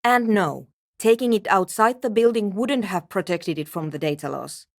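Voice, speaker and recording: A woman's voice: mid-range voice, lively intonation, normal speed, vocal fry, dry recording. An actress playing a curt, skeptical, world-weary woman programmer in a video game, speaking in a brusque, dry, dismissive way. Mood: terse, absorbed. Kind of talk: video game character dialogue.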